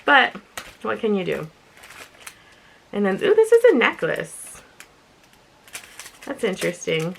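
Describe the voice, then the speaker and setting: A woman with a slightly raspy voice, chatting casually and thinking aloud as she presents an unboxing video with giggly asides. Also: medium pitch, huge pitch swings, takes it slowly, long pauses, low-quality recording. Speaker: unboxing and review vlogger.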